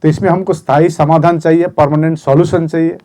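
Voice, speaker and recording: Earnest man a mellow voice. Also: fairly high pitch, flat delivery. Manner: earnest, measured, listing decisions one by one. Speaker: movement spokesman at a press conference. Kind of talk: press statement.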